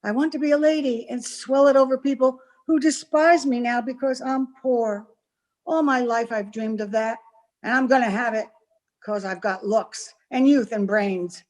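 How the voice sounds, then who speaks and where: A woman playing a scheming, ambitious young farm girl in an old-time radio melodrama, taunting and deliberate. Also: on the high side, fairly even intonation, darkish tone, natural voice. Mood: scornful, defiant. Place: remote recording over Zoom.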